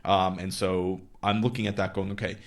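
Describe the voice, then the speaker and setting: A man's voice: sits low, little pitch movement, normal speed. A man in his thirties casually explaining his investing goals, thinking aloud with fillers and acting out conversations with clients. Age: 30s. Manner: acts out dialogue with clients in quotes. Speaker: investing channel host.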